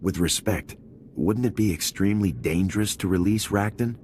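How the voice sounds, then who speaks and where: An actor playing a steady, respectful male squad leader with the rank of major in a sci-fi video game, speaking in a measured, soldierly way. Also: sits low, slight background noise, close to an everyday voice. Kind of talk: video game cutscene voice acting.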